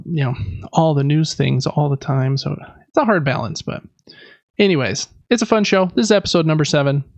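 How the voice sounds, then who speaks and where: Creaky-voiced man, casual podcast host. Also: on the high side, dry recording, muffled recording. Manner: rambling, self-deprecating. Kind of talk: casual chat about games and life.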